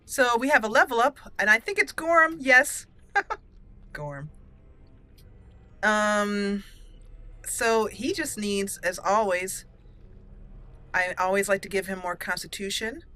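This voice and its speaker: Bright-voiced woman hosting a game playthrough. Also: mid-range voice, takes it slowly, long pauses. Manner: playful, chatty, thinking aloud. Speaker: gaming channel host. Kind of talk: casual roleplay game commentary. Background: game music.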